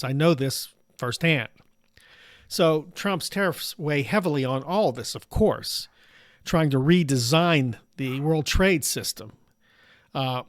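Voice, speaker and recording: A middle-aged American man hosting a political news commentary show, his voice raspy from allergies, talking in a steady, measured way with frequent "uh" fillers. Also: fairly high pitch, dry recording, clean studio sound. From United States. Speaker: show host.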